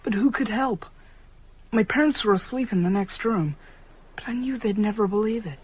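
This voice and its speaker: A woman's voice: medium pitch, normal speed, husky, lightly acted. Woman playing a frightened but defiant young boy. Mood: resolved, anxious. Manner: tense narration breaking into defiant cries. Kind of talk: radio drama narration and acting.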